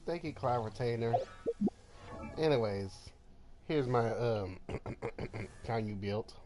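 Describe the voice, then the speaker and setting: A man casually commenting over his gameplay, talking in a halting way with many fillers and restarts. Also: mid-range voice, lively intonation, darkish tone, faint music behind. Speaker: gameplay streamer.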